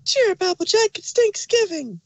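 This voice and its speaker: A woman's voice: very high-pitched, very animated, normal speed, background noise. A woman voicing a hyper, chirpy, relentlessly cheerful young female pony in a fan audio drama, bubbly and pushy.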